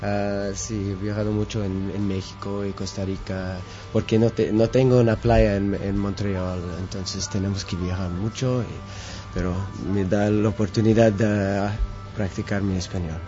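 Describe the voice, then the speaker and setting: A man from Quebec whose first languages are English and French, answering interview questions haltingly, searching for words with many fillers. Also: lowish voice, level tone. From Quebec, Canada. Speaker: musician guest. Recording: dull lo-fi radio sound with faint music.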